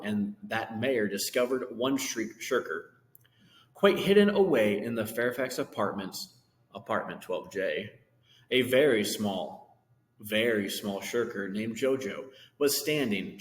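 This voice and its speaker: A man reading a children's storybook aloud, with casual asides about the pictures. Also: on the low side. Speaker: reader of a children's book. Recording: echoey room with long reverb.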